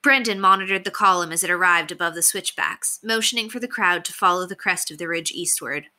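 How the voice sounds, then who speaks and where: A woman reading a children's novel aloud as expressive, scripted narration. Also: on the low side, brisk. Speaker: story reader.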